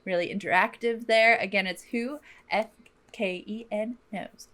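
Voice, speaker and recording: Female podcast co-host with an average-pitched voice, blunt, informal, chatty, comfortable swearing. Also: fairly even intonation, a little slow, fairly bright tone. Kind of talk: casual chat and announcements.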